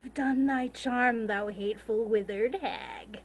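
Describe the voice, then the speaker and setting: A woman's voice: fairly high pitch, takes it slowly, poor audio. A woman playing a contemptuous royal villain, declaiming accusations in classical stage verse. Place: remote video-call staged reading.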